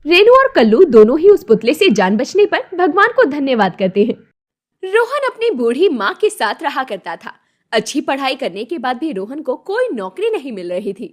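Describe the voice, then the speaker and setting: High-pitched woman storyteller. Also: sing-song, normal speed. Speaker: narrator. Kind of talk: scripted moral-story narration.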